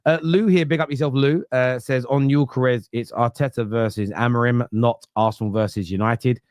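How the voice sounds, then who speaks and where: A man hosting a football podcast, casual chat that keeps correcting itself and counting stats out loud. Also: mid-range voice, fairly even intonation, dry recording, clean studio sound. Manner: rapid, self-correcting, counts stats aloud. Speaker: podcast host.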